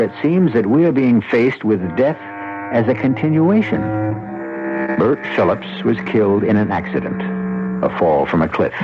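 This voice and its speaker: A man hosting a radio mystery drama, narrating in a deliberate, suspenseful style with pointed pauses. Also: lowish voice. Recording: dull old radio recording with music.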